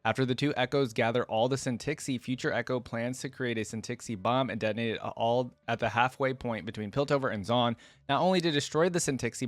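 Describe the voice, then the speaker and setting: Guy doing casual reaction commentary, mid pitched voice, talks right to viewers, reads stuff out, super dry recording. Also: no room sound. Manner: informal, addresses viewers directly as "guys". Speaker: video host, gaming fan reactor.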